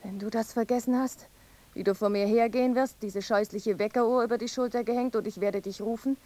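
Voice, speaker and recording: A woman's voice: on the high side, steady tone, a little fast, warm tone, lightly acted. A woman playing a mournful, visionary young wife in a poetic radio play, with solemn, incantatory delivery. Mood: reproachful.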